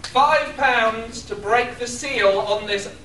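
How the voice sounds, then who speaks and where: A man's voice: very high voice, noisy background. A man auctioning lots for charity, reading descriptions with wry asides. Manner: wry, drawn-out delivery. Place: hotel function room at a convention. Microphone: far room camera. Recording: echoey room, distant camera.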